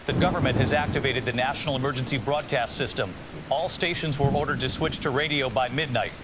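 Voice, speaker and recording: A man's voice: medium pitch, fairly even intonation, natural voice. Sober emergency newscaster over hiss, acted by a man. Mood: somber, urgent. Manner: sober, measured, formal. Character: grave male emergency radio newscaster, surviving apocalypse. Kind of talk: scripted emergency radio bulletin in audio drama. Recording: clear hiss with rain noise behind.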